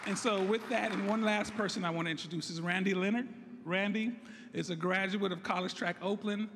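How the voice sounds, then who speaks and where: A hoarse man with a high-set voice addresses an audience at a ceremony, earnest and grateful as he introduces guests. His speech carries through a reverberant hall with a faint crowd murmur behind him. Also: steady tone, fairly quick, fairly bright tone, slight background noise. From San Francisco, United States. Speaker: site director of a youth college program. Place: large hall at a groundbreaking event.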